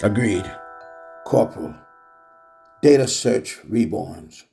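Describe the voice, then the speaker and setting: A gruff, weary military sergeant played by a male actor in a radio play, curt and commanding. The voice has a gravelly creak, with music clearly behind it. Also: fairly low pitch, lively intonation, slow pace, mellow, full character voice. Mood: wary.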